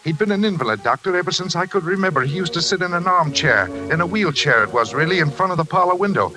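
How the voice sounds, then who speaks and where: Haunted, brooding psychiatric patient, music-backed. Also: male voice, high-pitched, animated, fast, low-quality recording. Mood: haunted, reminiscing. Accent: American. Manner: confessional, melodramatic. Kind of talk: 1940s American radio acting.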